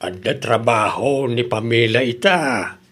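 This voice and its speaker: A man's voice: medium pitch, unhurried, fairly bright tone. A man with an Ilocano Filipino accent playing a nagging, cautious rural husband who questions and admonishes in a radio comedy drama. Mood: concerned.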